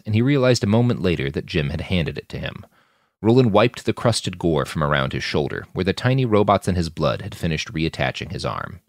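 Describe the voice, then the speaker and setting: A man narrates prose fiction in a very low voice. His delivery is animated, with wide rises and falls across scripted sentences. The sound is dry and close, with hardly any room. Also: dry recording.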